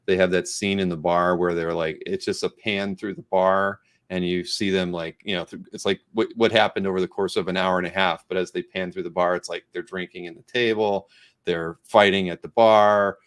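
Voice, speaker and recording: A man's voice: sits low, fairly even intonation, normal speed. A man hosting a casual interview in a rambling, conversational way. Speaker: host of a comic art live show.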